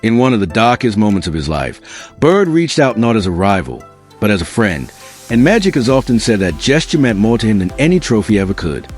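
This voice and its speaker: A male narrator with a fairly low, very animated voice reads a scripted documentary. His delivery is earnest and dramatic, over soft background music. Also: brisk.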